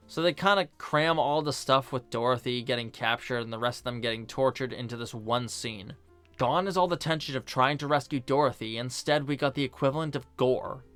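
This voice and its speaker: Male film review host: medium-pitched, casual scripted narration, dry asides, soft music bed.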